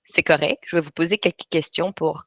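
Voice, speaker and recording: A woman from Brussels hosts an online writing workshop in a bright, forward voice with a Belgian lilt. She speaks in eager bursts full of fillers and self-corrections. Her sound is close and dry, like a video call from a small home office. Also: deep voice, fairly even intonation, a little fast, no echo. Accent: Belgian French. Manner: talks in bursts with many fillers and restarts. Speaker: writing coach and webinar host. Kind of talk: live webinar presentation.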